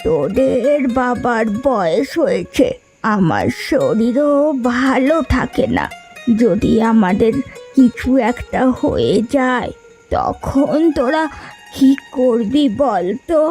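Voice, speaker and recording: Woman voicing a slow, plaintive nagging village mother. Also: a little high, sing-song, darkish tone, faint music behind, exaggerated voice. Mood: worried. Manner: plaintive, scolding. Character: worried, nagging aging village mother of grown sons. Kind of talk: Bengali moral-story cartoon dubbing.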